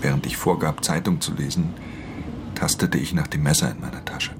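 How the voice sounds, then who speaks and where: Very deep male voice, cool watchful thief narrator, hushed, measured literary radio-drama telling, loud noisy ambience behind. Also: fairly even intonation. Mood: controlled tension. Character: male pickpocket narrating his own tense theft, cool and watchful. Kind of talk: scripted first-person crime narration in radio drama.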